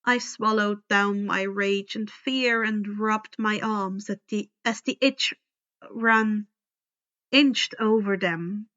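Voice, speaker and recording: A woman reading first-person fiction aloud in a deliberate, dramatic storytelling style. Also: mid-range voice, fairly even intonation, a bit bright, muffled recording. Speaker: fan fiction audiobook reader. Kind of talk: expressive first-person scripted narration.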